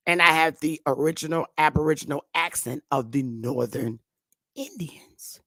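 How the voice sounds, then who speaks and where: A woman's voice: sits low, a bit bright, dry recording. Emphatic woman teaching in a dry room. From northern United States. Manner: emphatic, preachy, with slow drawn-out stresses. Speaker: online talk show host. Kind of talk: casual teaching monologue.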